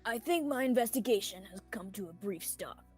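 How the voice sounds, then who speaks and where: A young woman playing an anxious male small-town sheriff trying to seem calm, acted in radio theatre style. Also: medium pitch, a little fast, soft background music, lightly acted. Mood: firm, apologetic. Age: young adult.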